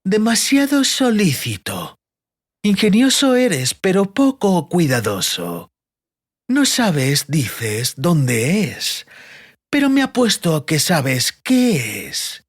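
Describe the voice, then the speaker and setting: A man voicing a sadistic interrogator in an audiobook, coldly menacing and mocking, with a deliberate, sneering, threatening delivery. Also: high-pitched, wildly swooping pitch, husky, full character voice. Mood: mocking menace.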